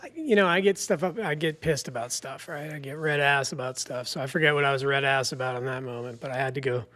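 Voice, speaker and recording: A man's voice: mid-range voice, quick pace, sharp tone, no room sound. A man guesting on a podcast, talking loosely and a bit boastfully about his sports bets over a remote line. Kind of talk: casual podcast chat.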